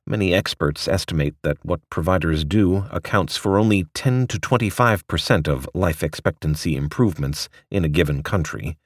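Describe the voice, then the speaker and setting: A man's voice: sits low, dry recording, studio quality. A man reading a scripted essay aloud as the narrator of a magazine article.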